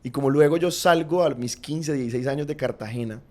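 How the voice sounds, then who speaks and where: A man's voice: medium pitch, brisk, a little mellow. Earnest Colombian man telling his story. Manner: earnest, reflective, frequent "digamos" and "pues" fillers. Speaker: guest. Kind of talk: personal storytelling in conversation.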